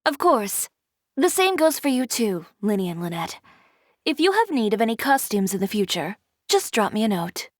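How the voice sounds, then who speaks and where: An actress playing a stern, self-assured young fashion designer in a video game, composed and blunt. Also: female voice, fairly high pitch, sing-song, moderate pace, no echo, studio quality. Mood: calm, dry.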